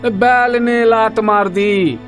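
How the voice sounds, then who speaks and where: A man playing a stern but kindly village farmer father in a cartoon moral story, with an expressive rustic drawl. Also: high voice, extremely slow, soft background music. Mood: hurt, aggrieved. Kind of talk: animated moral story acting.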